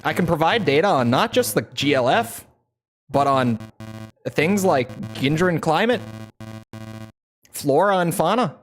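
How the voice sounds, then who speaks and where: A man voicing a bland male CIA agent in a put-on Canadian accent, reading a mission briefing flatly. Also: on the high side, a little slow, long pauses, soft background music.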